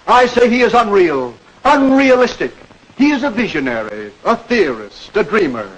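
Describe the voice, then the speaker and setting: An actor playing a patient campaign mentor, explaining and probing with questions in a 1940s educational film. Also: male voice, high voice, sing-song, normal speed. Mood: oratorical. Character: patient campaign speechwriter coaching a young learner. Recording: noisy old 1940s film soundtrack.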